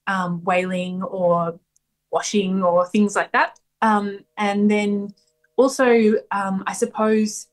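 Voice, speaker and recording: A woman folk singer answering interview questions thoughtfully, pausing often with fillers. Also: medium pitch. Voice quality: strong voice. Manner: thoughtful, hesitant with many ums. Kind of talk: radio interview, casual answers.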